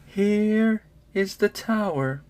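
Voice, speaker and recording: A mocking, sinister sorcerer from a dark fantasy tale, acted out by a male reader. The voice is raised well above a normal man's pitch and lilts theatrically. Phrases are drawn out with taunting relish. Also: expressive, extremely slow, exaggerated voice. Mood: hushed, solemn.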